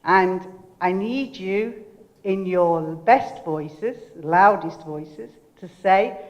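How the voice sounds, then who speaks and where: An older woman giving a motivational keynote speech, loud and commanding, directing the audience. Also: lowish voice, very slow, muffled recording. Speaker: keynote speaker. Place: lecture hall. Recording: lecture hall reverb.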